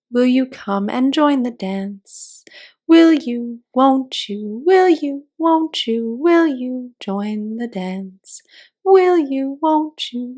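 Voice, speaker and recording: A woman's voice: high-pitched, a little mellow, voice pushed up. Woman as a dramatic, sentimental storybook turtle.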